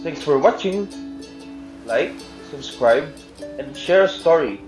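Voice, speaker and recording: Male presenter for children's story intros and outros, unhurried delivery, echoey sound, light guitar bed. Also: on the high side, lively intonation, a little mellow. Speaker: reader giving intro and sign-off. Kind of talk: children's read-aloud presentation. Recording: roomy echo with guitar music behind.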